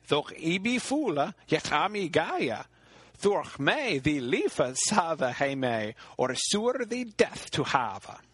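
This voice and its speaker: A man's voice: a little high, big pitch swings, slow, fairly bright tone, breathy edge, no room sound, voice pushed up, full character voice. A man voicing a loathly old hag who demands a knight for a husband, declaiming archaic verse. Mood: demanding.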